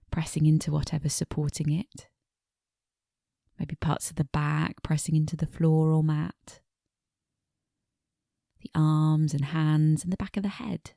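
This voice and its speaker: Woman, meditation teacher, creaky dark voice, soft breathy phrase endings, gentle pacing with pauses, guided body scan. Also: low voice, no echo, studio quality. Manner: gentle, unhurried, pausing between short phrases.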